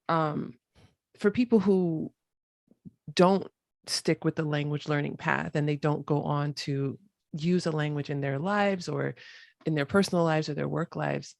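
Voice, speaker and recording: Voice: medium pitch, vocal fry, dry recording, clean studio sound. An American podcast host asking questions eagerly, restarting phrases as thoughts form. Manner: enthusiastic, self-correcting restarts. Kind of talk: interview questions, casual chat.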